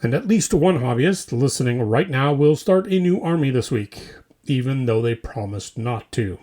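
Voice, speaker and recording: A man's voice: mid-range voice, animated, normal speed, mellow. A man hosting a comedy podcast, reading scripted mock news in a theatrical, tongue-in-cheek way with punchy short phrases.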